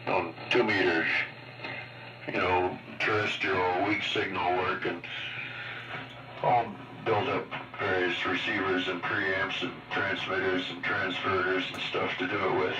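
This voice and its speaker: A man's voice: low-pitched, steady tone, measured pace, far from the mic, over loud music. An older man chatting casually and ramblingly as an amateur radio operator, heard over a radio transmission. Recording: muffled, noisy ham radio transmission.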